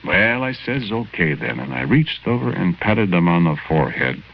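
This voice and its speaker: A muffled 1940s radio play carries a dead tough guy with a low, very expressive voice. He talks in street slang, coaxing and explaining with wry, earnest plainness. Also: normal speed, gravelly, a little hiss or hum, exaggerated voice. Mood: wry storytelling. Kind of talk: 1940s radio drama acting, first-person narration. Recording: muffled old 1940s radio recording, music.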